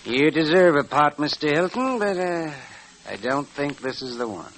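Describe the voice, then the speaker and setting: An actor playing a cool, polite professional gambler in an old radio Western. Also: male voice, fairly high pitch, big pitch swings, moderate pace, a bit bright. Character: smooth, cool professional gambler in a frontier saloon. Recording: noisy old radio recording.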